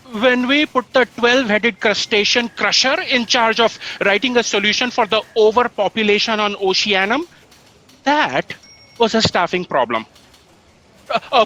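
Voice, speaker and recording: An actor playing a nervous but cheeky office underling in a sci-fi satire, hesitant and stammering. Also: male voice, extremely high pitch, bright, forceful tone, full character voice. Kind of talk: sci-fi satire audio drama.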